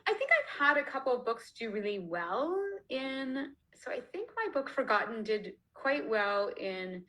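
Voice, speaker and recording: Bright, chatty woman author on a call. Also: fairly high pitch, sing-song, unhurried, low-quality recording. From Canada. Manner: chatty, laughs often, many fillers and restarts. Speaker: author being interviewed. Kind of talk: casual conversational interview. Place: home, online video call. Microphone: video call.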